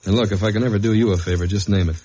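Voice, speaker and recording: Actor as low-voiced American radio detective. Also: male voice, steady tone, racing, lightly acted. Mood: measured. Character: undercover investigator man narrating his case, dry and steady. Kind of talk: 1950s radio detective drama acting. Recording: muffled old radio recording with music.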